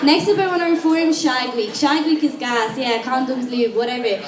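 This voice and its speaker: A young Irish woman giving a frank, casual campaign speech to a room of students. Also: high-pitched. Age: young adult. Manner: casual, frank, quick with asides. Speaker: student election candidate. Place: lecture room with an audience. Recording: echoey room with crowd noise.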